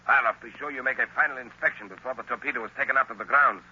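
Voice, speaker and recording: An actor playing a commanding, scheming hypnotist professor with a deliberate, menacing manner in 1930s radio drama style. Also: male voice, medium pitch, steady tone, close to an everyday voice. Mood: cold, scheming. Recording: muffled, noisy 1930s radio recording.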